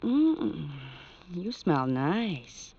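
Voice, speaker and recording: Pouty, lively radio sweetheart, low-pitched woman. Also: drawn-out words, husky, full character voice. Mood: fond scolding. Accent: American. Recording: muffled 1940s radio recording with music.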